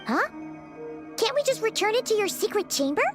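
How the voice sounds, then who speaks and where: A woman's voice: very high-pitched, sing-song, fairly quick, music in the background. A woman voicing a small, perky, childlike fairy companion in a video game, chirpy and exclamatory. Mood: curious. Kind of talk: English video game voice acting.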